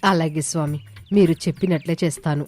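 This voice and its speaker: A woman voicing earnest, trusting village women in an animated moral story. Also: sits low, fairly quick, faint music behind. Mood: reverent. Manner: earnest, deferential. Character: troubled, trusting village women seeking a holy man's help.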